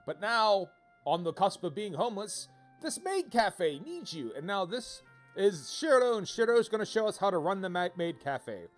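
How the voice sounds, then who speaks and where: Higher-pitched man livestreaming over music. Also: sing-song, normal speed. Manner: rambling, wry, playful asides. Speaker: game livestreamer. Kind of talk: casual livestream commentary, reading game text aloud.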